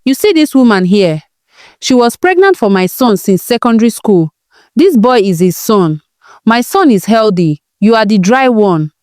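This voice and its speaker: Woman putting on a spiteful, animated old mother-in-law. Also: medium pitch, normal speed, no echo, clean studio sound, natural voice. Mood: scornful. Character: spiteful, gloating older mother-in-law, scornful villain.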